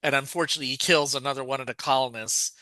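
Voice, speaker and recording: A man with a very bright, forceful voice that stays crisp and resonant, talking casually as a podcast co-host. He walks through a story's plot step by step, correcting himself as he remembers details. The sound is close and dry. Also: medium pitch, steady tone, racing, no room sound. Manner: recaps plot at length, thinking aloud with restarts.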